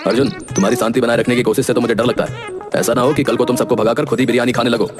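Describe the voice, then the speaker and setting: A young man voicing a calm, sensible older brother in a Hindi cartoon, speaking reasonably. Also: medium pitch, rapid-fire, natural voice. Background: background music.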